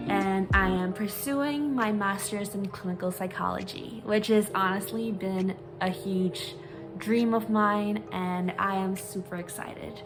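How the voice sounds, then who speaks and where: A woman from Singapore in her twenties, candidly sharing her personal story in a hesitant, um-filled vlog, reading from talking points on her phone. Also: medium pitch. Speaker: vlogger, psychology grad student.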